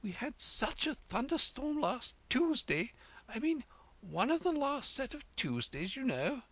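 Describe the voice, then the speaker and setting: A storybook reader voicing a gentle, muddled queen who rambles nervously. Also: medium pitch, huge pitch swings, measured pace, voice pushed up, full character voice. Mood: anxious.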